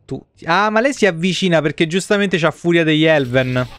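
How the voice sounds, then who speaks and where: Clear, high-voiced man streaming. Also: expressive, brisk, a bit bright, soft background music. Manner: sweary, joking. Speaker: video game streamer. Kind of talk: casual live gameplay commentary. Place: indoor room, streaming setup.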